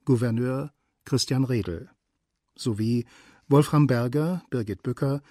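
A man's voice: medium pitch, takes it slowly, mellow, no room sound, studio quality. A man announcing the title and cast of a radio drama in a scripted style.

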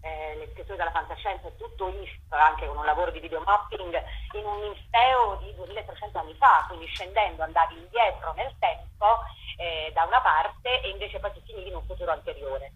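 A woman's voice: fairly low pitch, fairly quick, slight background noise. A woman festival curator, a guest on a radio interview, explaining her work at length in a thoughtful way over a phone line. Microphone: telephone.

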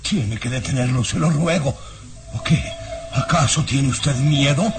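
A man's voice: mid-range voice, measured pace, soft background music, natural voice. An actor playing a treacherous baron and father who feigns concern, in old radio drama style with theatrical, persuasive urging. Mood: urgent, feigned concern.

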